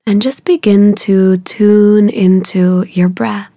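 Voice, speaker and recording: A woman guiding a meditation in a soothing, hushed way, soft and breathy at the ends of phrases, with unhurried, drawn-out phrasing. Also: medium pitch, steady tone, dry recording, clean studio sound. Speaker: meditation guide. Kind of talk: guided meditation.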